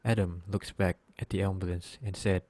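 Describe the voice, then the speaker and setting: A man serves as narrator for a reader's theater drama. His voice sits fairly low. He delivers scripted storytelling lines between the acted parts. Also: level tone, normal speed, mellow, no echo.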